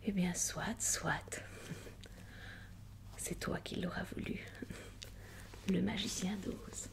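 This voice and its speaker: A woman whispering in an ASMR roleplay as a gentle, indulgent adult reading a child a bedtime story, soft, unhurried and tender. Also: lowish voice, exaggerated voice. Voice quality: whispered, breathy with creaky edges.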